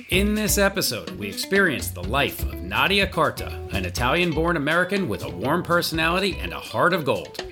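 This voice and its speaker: A man reading a polished, upbeat scripted introduction as the podcast host. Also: medium pitch. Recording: voice over guitar music.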